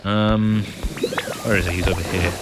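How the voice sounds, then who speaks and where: Low-voiced man, casual let's-play chatter, mumbling asides over game audio. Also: flat delivery, moderate pace, heavy background noise. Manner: chatty, muttering asides to himself. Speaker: gamer playing through a story quest.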